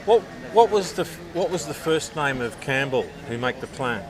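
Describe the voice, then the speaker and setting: A man's voice: on the high side, animated, moderate pace, crowd noise. A man interviewing outdoors, asking short prompting questions and nudging the talk along. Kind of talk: casual recorded conversation.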